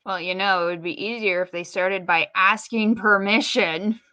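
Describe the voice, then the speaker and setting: A woman's voice: medium pitch, steady tone, moderate pace, pushed and bright, clear, resonant tone, dry recording. A woman co-hosting a podcast, speaking in casual conversation with a questioning, slightly tentative manner.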